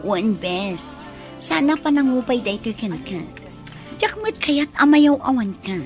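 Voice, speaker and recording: Casting: caring radio-drama confidante, fairly high, animated voice, light music bed. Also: female voice, unhurried. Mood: concerned. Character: concerned best friend giving careful advice. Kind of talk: Ilocano radio drama acting.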